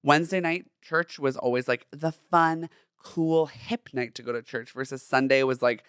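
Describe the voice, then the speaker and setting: A man's voice: mid-range voice, normal speed, clean tone, no room sound, studio quality. Campy young man with a light voice. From Southern California. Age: young adult. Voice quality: feminine, light voice. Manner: chatty, campy and expressive with playful slang. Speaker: podcast host. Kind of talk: personal solo podcast monologue.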